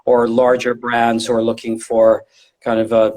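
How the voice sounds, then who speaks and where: A middle-aged man with a medium-pitched voice talks as a guest expert in an interview. He explains informally and conversationally. He often repeats a word or two while he works out the phrase. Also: monotone, moderate pace, a little mellow. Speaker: guest, retail design and visual merchandising specialist.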